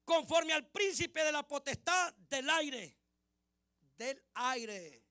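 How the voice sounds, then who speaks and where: High-voiced, bright Honduran pastor preaching. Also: male voice, lively intonation, slow pace, no room sound, clean studio sound. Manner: emphatic, repeats key phrases. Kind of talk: preaching sermon in Spanish.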